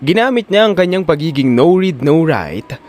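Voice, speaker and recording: A man's voice: fairly high pitch, sing-song, normal speed, a little mellow, soft background music. A man narrating a scripted audio drama in a dramatic storytelling style.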